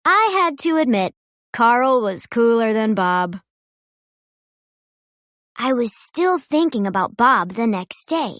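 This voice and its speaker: A woman reading a children's storybook aloud in an expressive, playful way. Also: a little high, takes it slowly, long pauses, no room sound, clean studio sound. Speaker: children's book reader. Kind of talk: storybook read-aloud narration.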